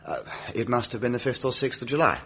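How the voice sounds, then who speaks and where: An actor playing a reticent, defensive young upper-class gentleman, clipped and hesitant, in a radio courtroom drama. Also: medium pitch, lively intonation, fast talker, slightly rough. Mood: guarded.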